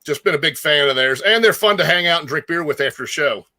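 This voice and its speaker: A man chatting casually about music as a guest co-host, enthusiastic and slangy, often halting as he gathers his thoughts. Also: a little high, expressive, bright, forceful tone, dry recording. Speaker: guest co-host, metal fan.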